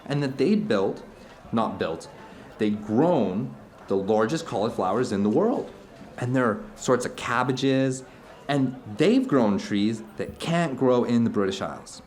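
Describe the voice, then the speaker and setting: Guy acting as middle-aged man telling long earnest stories over dinner, audio drama, fervent and rambling, medium pitch. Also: animated, measured pace, a little mellow, lightly acted. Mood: earnest wonder.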